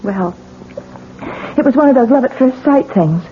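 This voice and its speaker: A woman's voice: fairly high pitch, animated, normal speed, natural voice. A woman playing a newlywed wife who insists to a doctor and a police lieutenant that she is sane. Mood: guarded. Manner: tense, sharp, pushes back. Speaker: Mrs. Renard, patient in hospital. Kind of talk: 1970s radio drama acting. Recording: tinny lo-fi 1970s radio broadcast with hiss.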